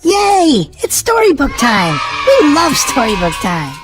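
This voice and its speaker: A woman reading a storybook aloud to young children in an animated, sing-song way. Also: high voice, slow, music in the background. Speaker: children's storytime host and reader.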